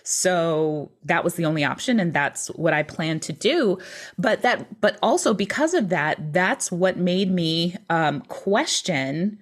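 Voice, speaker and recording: Husky, lowish-voiced woman guest. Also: expressive. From Brooklyn, New York. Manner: earnest, measured, reflective. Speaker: guest, author. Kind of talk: interview storytelling. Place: living room at home. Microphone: video call.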